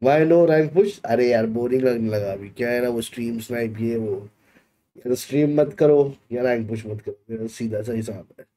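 A man's voice: mid-range voice, moderate pace, dark tone. A man in his thirties with an Indian accent, chatting casually with viewers as a gaming live streamer, in an informal manner. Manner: informal, code-switches between Hindi and English. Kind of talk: casual livestream chat, reading and answering viewers. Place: small gaming room indoors.

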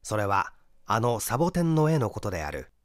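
A man reading a mystery story aloud as a theatrical, deliberate scripted narration. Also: on the low side, sing-song, dry recording, studio quality. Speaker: audiobook reader, stage actor.

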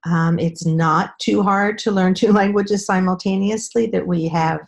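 A middle-aged woman speaking as a guest expert on a video call from a room at home, explaining in a measured way with frequent ums. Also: mid-range voice, steady tone, vocal fry. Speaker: speech-language pathologist guest.

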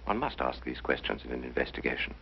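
Deep, gravelly English lord. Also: male voice, lively intonation, fast, a little hiss or hum, heavily acted. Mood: grave, formal. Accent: British. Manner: formal, hesitant, dignified. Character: grave, protective older English lord and husband. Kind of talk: 1950s television mystery acting. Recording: dull 1950s soundtrack with faint music.

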